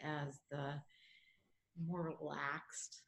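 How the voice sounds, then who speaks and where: Middle-aged woman podcast co-host, lower voice, reflective and chatty, quick laughs. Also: little pitch movement, creaky, fairly bright tone, slight background noise. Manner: thoughtful, musing, laughs often. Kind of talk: casual reflective chat.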